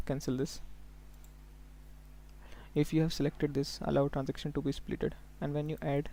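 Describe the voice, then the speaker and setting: A man working as a software trainer, giving a step-by-step live tutorial in an instructional way, often prompting listeners to follow along. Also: on the high side, slight background noise. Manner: instructional, often checking in with okay and look here.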